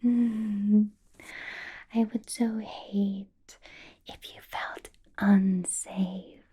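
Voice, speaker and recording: Woman doing a vampire boss lady for asmr, super breathy whisper, really slow, silky sweet but kinda menacing. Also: medium pitch, fairly even intonation, warm tone, exaggerated voice. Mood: protective, soothing. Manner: slow, drawn-out, coaxing, lightly menacing politeness. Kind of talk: ASMR roleplay, whispered personal attention.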